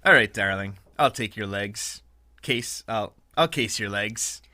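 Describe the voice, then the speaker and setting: A man giving dry, deadpan hard-boiled detective narration in a comic noir parody. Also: on the low side, unhurried, no room sound.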